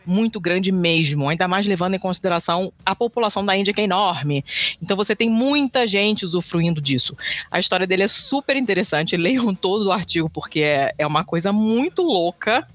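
High-pitched brazilian guy rambling on a podcast, lots of restarts. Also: fairly bright tone, no echo. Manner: rambling, many restarts and fillers, admits never being brief. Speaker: podcast co-host. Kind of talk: casual chat.

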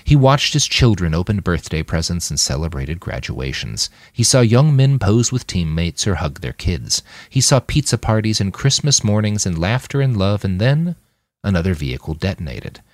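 A man with a low voice narrates a work of fiction in the manner of an audiobook. His delivery is dramatic and animated, with wide swings in intonation and measured, clipped phrases. The sound is close and dry, free of room echo. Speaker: author reading his own novel on a podcast.

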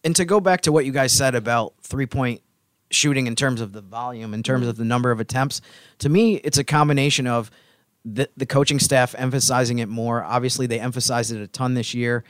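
A man's voice: mid-range voice, fairly quick, no room sound. A man on a podcast panel giving conversational sports analysis in an explanatory, reasoned manner.

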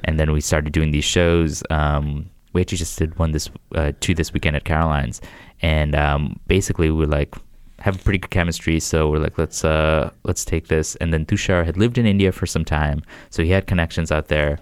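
A young American man with a deep, smooth voice talks in a loose, rambling way, full of "like" and false starts. The sound is close and dry. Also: steady tone, a little fast, dry recording. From United States. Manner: rambling, restarts, frequent "like". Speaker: comedian guest. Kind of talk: casual podcast chat.

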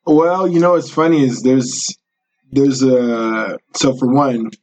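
A young man from Colorado, a rapper guest on a podcast, talking in a laid-back, measured way. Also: mid-range voice, darkish tone, low-quality recording. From Colorado, USA. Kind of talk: casual podcast interview.

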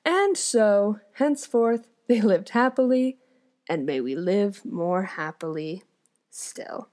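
A woman's voice: medium pitch, expressive, takes it slowly, darkish tone. A woman, an actor and comedian, reading an old fairy tale aloud and breaking off into wry, joking comments. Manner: wry, amused, chatty commentary. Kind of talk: storytelling reading with joking asides.

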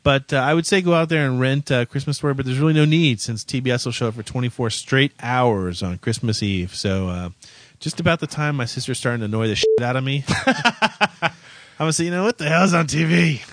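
A man talking as a show co-host, hesitant and stammering, with a dry sense of humor. His pitch moves around a lot as he talks. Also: animated, fairly quick, a bit bright, no room sound. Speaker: podcast co-host. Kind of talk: casual chat.

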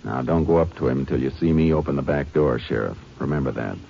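A deep-voiced stern Texas Ranger. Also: male voice, fast talker, gravelly, mellow. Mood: firm. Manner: laconic, drawling, dry. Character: calm stern male Texas Ranger lawman. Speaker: narrator and lead. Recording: dull old radio broadcast with faint hiss.

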